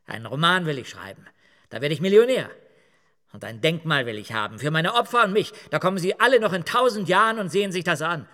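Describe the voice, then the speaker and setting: A man's voice: fairly high pitch, expressive, fast, bright, forceful tone. Radio play killer: male, boastful, unrepentant, matter-of-fact delivery, big hall reverb. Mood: boastful, excited. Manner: matter-of-fact, self-important.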